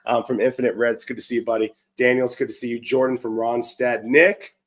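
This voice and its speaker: A hoarse man, talking upbeat and chatty on his live stream, full of ums and restarts. He sounds like he's on a desk mic over a video call. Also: mid-range voice, fairly even intonation, fast talker, fairly bright tone, slight background noise. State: hoarse from a cold. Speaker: livestream show host. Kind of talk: casual live-stream chat.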